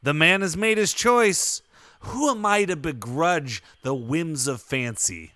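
A cocky, swooping biker thug. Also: male voice, on the high side, expressive, takes it slowly, pushed and bright, no echo, full character voice. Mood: goading, smug. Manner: theatrical, mock-grandiose, goading. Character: cocky male biker-type vampire thug, taunting ringleader.

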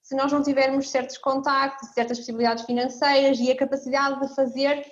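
A woman's voice: high-pitched, level tone, a little fast, dull audio. A young woman from Ukraine, talking reflectively as an interview guest. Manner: reflective, speaks in short clauses with frequent "não é?". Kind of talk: casual interview conversation.

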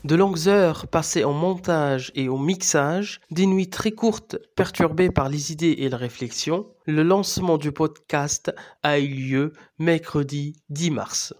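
A man in his thirties, a native Arabic speaker, hosting a podcast with measured, scripted narration. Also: fairly high pitch, darkish tone, faint music behind. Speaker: podcast host and Arabic teacher.

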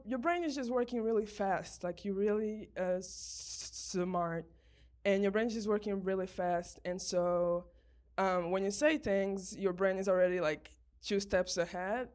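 A woman's voice: mid-range voice, unhurried, a little mellow. Young woman who stutters, speaking live. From Brazil, raised in Portugal. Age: young adult. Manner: stutters with repeated sounds, many fillers. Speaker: guest speaker. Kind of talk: personal talk at a live event.

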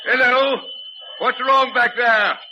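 Brisk, alarmed railway engineer, high-pitched urgent calls, vintage radio drama, noisy recording. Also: male voice, fairly even intonation, highs cut off. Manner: urgent, clipped calling. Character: brisk, alarmed male train engineer calling out. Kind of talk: 1940s radio drama acting.

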